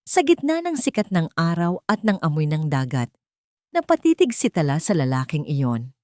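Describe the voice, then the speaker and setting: A woman's voice: low-pitched, big pitch swings, moderate pace, a little mellow, dry recording, clean studio sound. A woman narrating a scripted story in a dramatic storytelling style.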